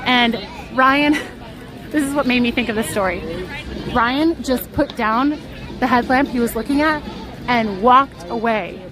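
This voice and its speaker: A woman's voice: on the high side, unhurried, long pauses. A woman live-streaming from a theme park, telling a story in a chatty, giggly, self-deprecating way. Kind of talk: casual storytelling to a live chat. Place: outdoors at a theme park on a rainy day. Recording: park music and crowd noise behind.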